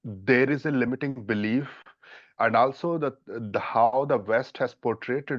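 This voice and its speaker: A man on a dating coach panel, speaking haltingly with many fillers and restarts. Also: mid-range voice, no echo, highs cut off. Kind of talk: casual panel discussion.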